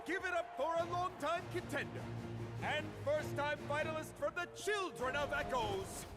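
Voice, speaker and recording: A man voicing an enthusiastic arena announcer in a video game, talking like a showman to a crowd. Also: moderate pace. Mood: hyped. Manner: booming, showman. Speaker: tournament announcer. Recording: game music behind.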